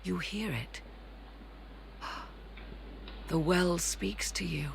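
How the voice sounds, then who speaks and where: An actress playing a proud, cutting sorceress in a video game, with formal, theatrical, disdainful delivery. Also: female voice, fairly low pitch, drawn-out words, vocal fry, a little hiss or hum, exaggerated voice. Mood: cryptic, regretful.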